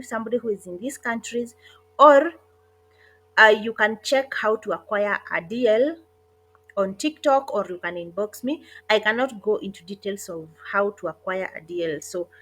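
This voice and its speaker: A woman narrating an online job application walkthrough in a casual, hesitant way, with frequent pauses and self-corrections. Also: fairly high pitch, fairly bright tone. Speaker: online job tutorial creator. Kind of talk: casual screen-recorded walkthrough.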